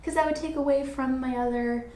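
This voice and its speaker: A woman's voice: sits high, fairly even intonation, fairly quick, mellow. A woman hosting a podcast in casual conversation, thinking aloud earnestly with plenty of filler phrases. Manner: earnest, thinking aloud, frequent "like" and "you know". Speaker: podcast host. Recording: echoey room, faint background noise.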